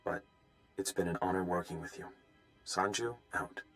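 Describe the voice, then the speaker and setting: An actor playing an earnest undercover informant in a video game, talking in a confiding manner. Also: male voice, deep voice, moderate pace, a bit bright, faint music behind. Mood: sober farewell.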